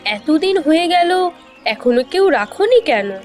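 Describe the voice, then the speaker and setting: A woman's voice: extremely high pitch, big pitch swings, unhurried, heavily acted. A woman voicing a concerned, impatient village woman in a cartoon fairy tale. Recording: background music under dialogue.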